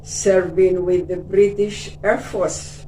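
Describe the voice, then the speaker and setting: An older woman in her seventies from Cyprus, Greek is her first language and she speaks English with a Greek Cypriot accent, telling her life story gently and unhurriedly, often mentioning her faith. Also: fairly low pitch, fairly even intonation, takes it slowly, a little hiss or hum. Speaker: interview guest. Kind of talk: casual life-story interview. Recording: echoey room, distant mic.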